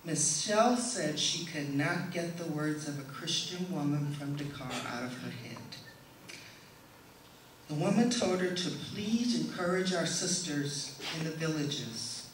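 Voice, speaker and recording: A middle-aged woman giving a prepared testimonial speech to an audience in a measured, deliberate way. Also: low-pitched, expressive. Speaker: speaker giving a presentation. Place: indoor stage in a hall, before an audience. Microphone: stand microphone, heard from a distant camera. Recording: echoey hall, distant camera, faint noise.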